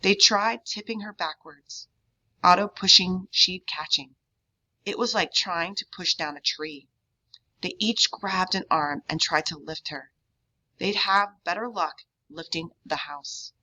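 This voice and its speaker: A woman reading a children's novel aloud in an expressive storybook narration style, on a dull, lo-fi recording. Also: on the low side, measured pace. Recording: dull, lo-fi sound.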